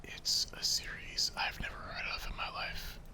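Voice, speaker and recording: A man's voice: normal speed, bright, forceful tone. A man narrating a visual novel in a whispered ASMR style.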